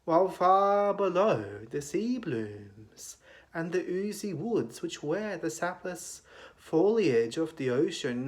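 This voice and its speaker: A man giving a dramatic recitation of poetry in a declamatory manner, with long pauses between phrases. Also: a little high. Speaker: poetry reader.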